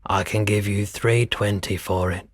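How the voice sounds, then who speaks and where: A world-weary pawnshop owner from a video game, male and very low in pitch. He takes his time over each phrase, speaking slowly and softly with a wistful, laid-back air. The voice is husky and sits close and dry. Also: steady tone, no room sound. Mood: businesslike, relaxed. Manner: unhurried, low-key, deliberate. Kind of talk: video game character dialogue.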